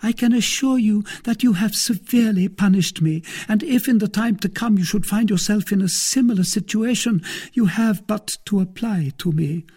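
A man voicing a jealous, reproachful middle-aged wife in a high, pleading manner. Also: moderate pace, darkish tone, slightly rough. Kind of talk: audiobook character reading.

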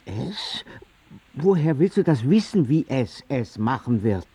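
A husky, mellow-toned artist father in a radio drama. He is sardonic and anguished, with swooping intonation and pointed remarks, recorded very dry. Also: medium pitch, sing-song, takes it slowly, no room sound, full character voice. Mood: sceptical.

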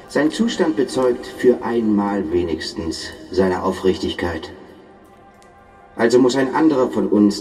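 A man's voice: on the low side, darkish tone, far from the mic, close to an everyday voice, poor audio. An actor playing a bitter, menacing poet who commands and accuses his comrades in a German radio drama.

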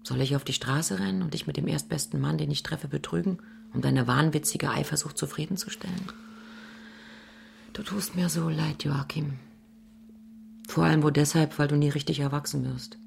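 A woman's voice: low-pitched, normal speed, gravelly, darkish tone, slight background noise. An actress in a radio drama playing a disillusioned wife who reproaches her husband, intimate and accusing, often sinking towards a whisper. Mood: weary reproach.

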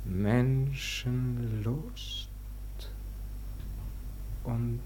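An actor playing an anguished, self-reproaching son in a theatrical verse radio drama, moving between desperate cries and spent whispers. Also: male voice, medium pitch, fairly even intonation, very slow, mellow, slight background noise. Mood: desolate remorse.

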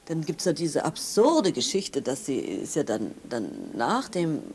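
An actress playing an opinionated sister in a naturalistic audio drama, talking chattily with halting restarts and tag questions. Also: female voice, low-pitched, big pitch swings, a little mellow, a little hiss or hum. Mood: accusing, agitated. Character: animated, opinionated sister of a wealthy man, accusing.

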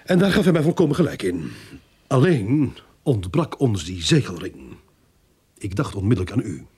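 An actor playing a cocky, scheming corrupt policeman in a radio drama, with a husky voice. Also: on the low side, sing-song, moderate pace, a little mellow, full character voice. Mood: calculating.

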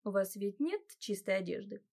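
A woman's voice: mid-range voice, lively intonation, normal speed, gravelly, warm tone. A woman voicing a polite, slightly hesitant middle-aged housekeeper. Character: fussy attentive female housekeeper, middle-aged.

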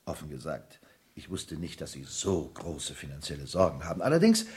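An actor in a German radio play, playing a stern, principled office colleague who talks in a formal, deliberate, insistent way. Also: male voice, rumbling low, sing-song, darkish tone. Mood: measured, accusing.

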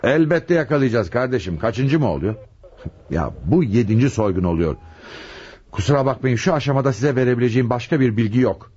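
An actor playing a grave, determined police chief inspector in a radio drama. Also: male voice, mid-range voice, lively intonation, a little fast, a little mellow, natural voice. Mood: official, firm. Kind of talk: radio play acting. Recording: muffled old radio drama, faint noise.

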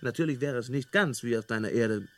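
An actor playing an unflappable galactic hitchhiker in a radio drama, explaining things in a nonchalant, amused way. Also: male voice, medium pitch, brisk, fairly bright tone, natural voice. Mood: calm, explanatory. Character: breezy, unflappable male galactic hitchhiker, experienced field researcher.

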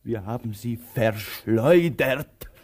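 A man acting a patient, stubborn tramp in a radio play, speaking in a measured, dry way to his companion. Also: medium pitch, expressive, darkish tone. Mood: puzzled, resigned. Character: patient, stubborn tramp, protective companion, slow-witted but hopeful. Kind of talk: theatrical radio play dialogue.

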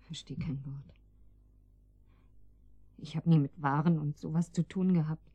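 A mellow, dark-toned housewife in a radio drama. She is anxious and naive, with a halting, defensive delivery. The sound is very dry and intimate. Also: deep voice, steady tone, slightly rough, no echo, lo-fi sound. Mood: frightened, pleading. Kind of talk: German radio drama acting.

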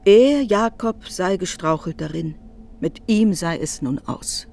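A woman's voice: on the low side, lively intonation, takes it slowly, vocal fry, darkish tone, a little hiss or hum. A woman narrating a literary radio drama, expressively retelling another person's speech with some irony.

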